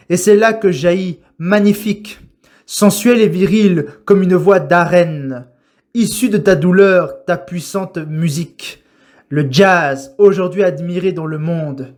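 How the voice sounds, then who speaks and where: A man reciting a poem in a solemn, dramatic style, with declamatory, measured phrasing and deliberate pauses. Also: high voice, a little mellow. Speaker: poetry reciter.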